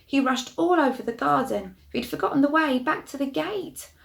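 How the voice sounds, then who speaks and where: A woman storyteller reading a storybook expressively to young children, with hushed asides and gentle questions to the listeners. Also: high-pitched, expressive, moderate pace, creaky.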